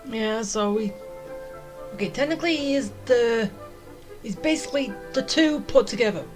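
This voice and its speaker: A woman's voice: a little high, normal speed, a little mellow. A woman game streamer giving casual, off-the-cuff commentary as she plays. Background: faint game music.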